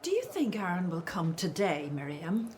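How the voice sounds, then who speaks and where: Expressive, loving elderly mother. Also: on the low side, moderate pace, slight background noise. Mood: anxious. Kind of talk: radio drama acting.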